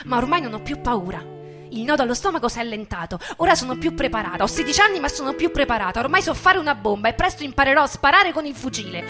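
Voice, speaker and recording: Woman acting an eager, high-voiced teenage girl fighter. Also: very animated, fast, music in the background. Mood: defiant, confiding. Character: eager sixteen-year-old girl militia fighter, fearful once, now defiant. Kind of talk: theatrical dramatic monologue.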